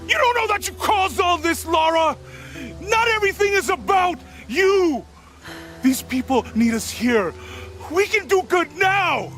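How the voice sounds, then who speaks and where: An actor playing an exasperated but kind companion to an adventurer in a dramatic video game scene. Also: male voice, extremely high pitch, expressive, a little slow, fairly bright tone, soft background music, exaggerated voice.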